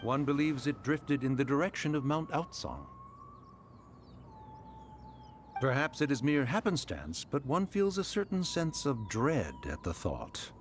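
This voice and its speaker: A man's voice: medium pitch, big pitch swings, normal speed, hardly any pauses, slight background noise, close to an everyday voice. A man playing a stern, worried immortal in a video game, scolding in pompous, archaic language. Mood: reproachful. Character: stern, anxious, scolding male immortal adeptus. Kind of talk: video game cutscene acting.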